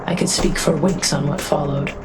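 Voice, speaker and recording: Woman voicing a low-pitched, formal alien envoy. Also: steady tone, natural voice. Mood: courteous, earnest. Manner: formal, precise, measured phrasing. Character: polite, curious female alien envoy, formal and thoughtful. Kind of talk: fan dub of a sci-fi game, character dialogue and inner narration. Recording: music behind the voice.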